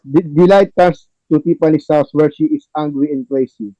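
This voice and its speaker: A man's voice: a little high, fairly even intonation, moderate pace, no room sound. A man reading stage directions as the narrator of a scripted table read, in a dull lo-fi recording.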